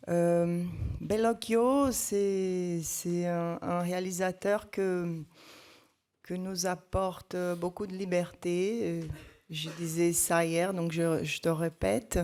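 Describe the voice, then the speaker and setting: A woman's voice: on the low side, darkish tone. A woman, an actress, answering at a press conference, hesitant and repeating words as she searches for them.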